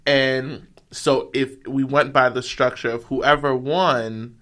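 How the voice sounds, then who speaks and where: A young man casually telling brash childhood stories on a podcast, loud and animated, swearing freely. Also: medium pitch, takes it slowly. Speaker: podcast guest. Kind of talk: casual storytelling.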